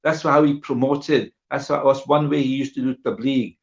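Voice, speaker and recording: A man's voice: mid-range voice, fairly even intonation, normal speed. A middle-aged man giving an informal illustrated talk online, conversational, repeating phrases for emphasis and checking in with his listeners. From born in Guyana. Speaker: guest speaker sharing memories of his father. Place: live online video call. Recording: dull, muffled online stream audio.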